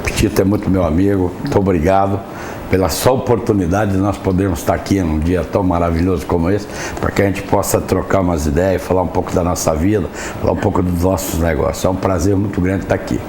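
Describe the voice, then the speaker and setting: A man's voice: low-pitched, steady tone, fast. A man from Brazil with a São Paulo interior accent, a cattle breeder being interviewed, earnestly telling his life story. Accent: Brazilian Portuguese, São Paulo interior. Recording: echoey studio with background noise.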